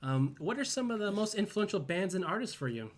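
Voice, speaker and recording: A man hosting a fan panel with a slightly higher voice, easygoing and encouraging. He strings his questions together with a lot of ums and likes. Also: sing-song, brisk. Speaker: panel host. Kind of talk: casual interview questions.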